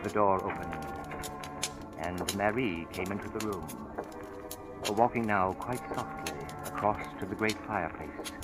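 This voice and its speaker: Suave, theatrical crime writer; low voice, florid 1940s radio storytelling over strong music. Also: male voice, unhurried, rough recording. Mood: grave suspense. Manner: pompous, florid dramatic delivery. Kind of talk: 1940s radio drama narration. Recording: old radio recording with music. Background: dramatic music.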